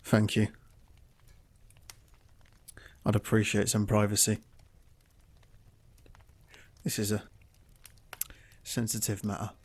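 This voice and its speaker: An actor playing a grieving, vengeful miner and widowed father, bitter and seething, erupting into shouts in an audio drama. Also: fairly low pitch, monotone, a little slow, breathy edge. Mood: restrained. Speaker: mine worker.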